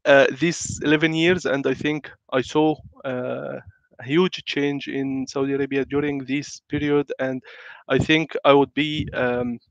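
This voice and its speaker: A man's voice: mid-range voice, little pitch movement, unhurried, dry recording. A man with a flu-congested voice, giving a webinar talk as a guest speaker, hesitant and full of fillers and restarts. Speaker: guest speaker on building information modelling. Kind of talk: online webinar presentation. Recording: dry, slightly muffled lo-fi audio.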